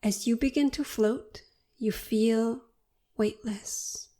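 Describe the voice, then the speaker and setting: A woman narrating a guided meditation in a soothing, unhurried and deliberate manner. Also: a little high, fairly even intonation, dark tone.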